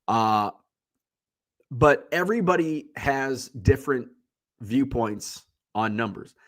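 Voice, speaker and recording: A man's voice: medium pitch, slow pace, fairly bright tone. Congested man rambling bluntly. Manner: blunt, rambling, swears freely. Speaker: livestream host. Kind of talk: casual solo chat.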